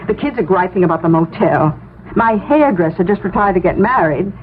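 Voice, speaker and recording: A woman's voice: lowish voice, expressive, a little fast, close to an everyday voice. An actress playing a weary, fussing suburban wife and mother, complaining and probing about money in a 1970s radio drama. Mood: fretful, complaining. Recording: tinny, slightly noisy 1970s radio.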